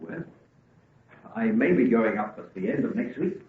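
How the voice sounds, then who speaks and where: An actor playing a shy, flustered young gentleman doctor with halting speech in an old-time radio drama. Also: mid-range voice, big pitch swings, slight background noise, close to an everyday voice. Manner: hesitant, halting. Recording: dull vintage radio recording.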